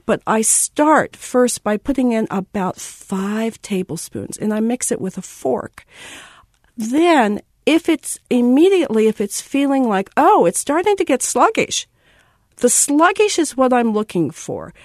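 A woman's voice: on the high side, sing-song, a little slow, no echo, clean studio sound. A woman, a baking expert on a radio show, explaining her method step by step. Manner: warm and explanatory, walks through steps. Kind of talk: instructional interview.

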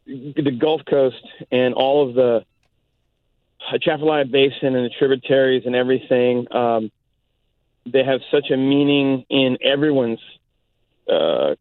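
A man from South Louisiana, whose first language is Cajun French, calls in over a tinny phone line. He rambles and fills his pauses with ums and uhs. The sound is dry. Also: mid-range voice, dry recording. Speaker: caller. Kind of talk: call-in radio chat.

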